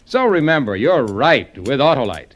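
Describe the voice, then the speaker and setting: Bouncy, salesy radio pitchman. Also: big pitch swings, moderate pace. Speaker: commercial announcer. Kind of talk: 1950s radio sponsor commercial.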